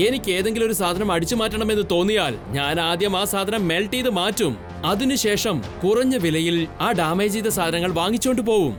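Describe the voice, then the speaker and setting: A man's voice: high-pitched, natural voice. A man voicing a boastful, greedy male cartoon villain who gloats and mocks, in a dubbed cartoon with music behind. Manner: gloating, mocking laughter. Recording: studio dub over cartoon music.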